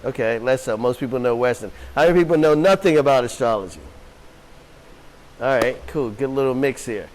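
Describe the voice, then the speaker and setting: A man's voice: medium pitch, a little fast, poor audio. A man leads a class in an easygoing, chatty way, his voice sitting in an ordinary middle range. He speaks to a roomful of students. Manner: casual, friendly, loose with fillers. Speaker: astrology teacher. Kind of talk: relaxed classroom talk. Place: class room with a group.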